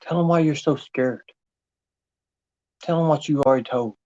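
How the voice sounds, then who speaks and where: A man's voice: on the high side, moderate pace, a little mellow, muffled recording. A man livestreaming in an insistent, repetitive, confrontational way. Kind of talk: impassioned monologue to an absent person.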